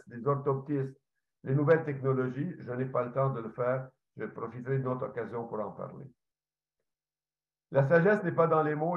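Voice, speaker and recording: A man giving an earnest conference talk as an ophthalmologist presenter, restarting now and then. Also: medium pitch. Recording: echoey, dull lo-fi audio.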